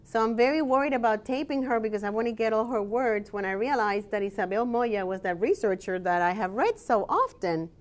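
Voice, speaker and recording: Woman academic presenting her research; steady, explanatory delivery, pauses on "um.". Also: on the high side. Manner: measured and explanatory, with frequent "um" pauses. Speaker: scholar and researcher giving a presentation. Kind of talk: presentation talk with slides.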